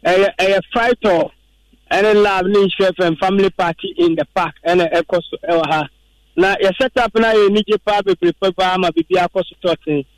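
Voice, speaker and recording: Ghanaian man, radio presenter, high voice, dry sound, upbeat chatty questions. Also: fairly quick, no room sound, rough recording. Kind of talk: live outdoor radio interviews.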